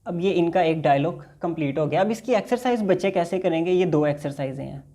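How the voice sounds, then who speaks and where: A man's voice: on the high side, expressive, a little fast, mellow. A man teaching basic English in a recorded lecture, patiently explaining and reading example dialogues aloud.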